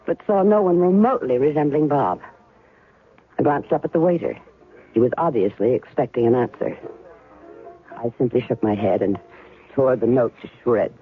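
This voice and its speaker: A tinny 1970s radio drama recording carries a guarded, coolly skeptical ex-wife. Her delivery is measured and wry, with expressive swings in pitch and small hesitations. Faint music sits behind her. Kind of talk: 1970s radio drama acting with first-person narration.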